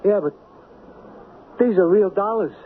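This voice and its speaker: An actor playing a nervous, reluctant small-time crook sidekick, slangy, blunt and complaining, in an old-time radio drama. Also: male voice, sits high, unhurried. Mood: alarmed. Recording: lo-fi hissy old radio recording.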